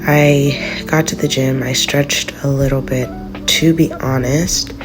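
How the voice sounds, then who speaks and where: A congested woman giving a relaxed, rambling voice-over about her gym session. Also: a bass voice, unhurried, music in the background. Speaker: vlogger. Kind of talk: casual voice-over narration.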